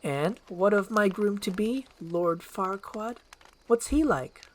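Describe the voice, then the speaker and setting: A woman's voice: medium pitch, lively intonation, slow. Woman playing a haughty, demanding fairy-tale princess. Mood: anxious. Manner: imperious, archaic courtly phrasing. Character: haughty, demanding young princess, formal and romantic.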